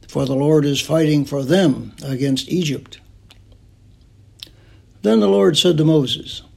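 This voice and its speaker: A man reading scripture aloud in a solemn, measured and deliberate way. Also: a little high, creaky, husky. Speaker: scripture reader.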